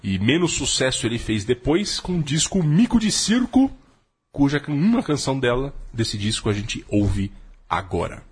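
Male podcast host with a husky edge; animated pitch, relaxed musing commentary. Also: moderate pace. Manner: thinks aloud with frequent hesitations. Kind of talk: casual music commentary.

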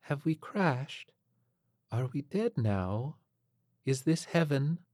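A man voicing a waifish young female New Age singer in an audiobook story, soft, airy, slow and dreamy. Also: fairly high pitch, no echo, studio quality, voice pushed up. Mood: dreamy wonder.

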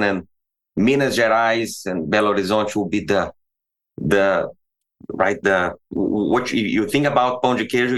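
Brazilian-accented man, lowish halting voice. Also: fairly bright tone, rough recording. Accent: Brazilian Portuguese. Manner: halting, many restarts and repeated words. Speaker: veterinary professor, podcast guest. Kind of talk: conversational interview.

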